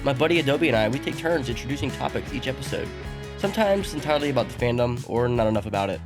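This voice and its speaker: A man's voice: mid-range voice, lively intonation, fast, music in the background. A man co-hosting a casual podcast while sick, sounding congested and nasal, joking and laughing at his own puns. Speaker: podcast co-host.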